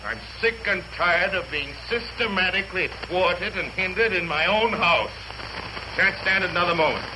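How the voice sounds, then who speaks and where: A male actor as an irascible middle-aged father, pompous and blustering, rules his household in a fairly high voice. He delivers every line emphatically, in vintage radio drama style. The old broadcast recording is clearly noisy. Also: normal speed, natural voice. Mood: irritated, indignant.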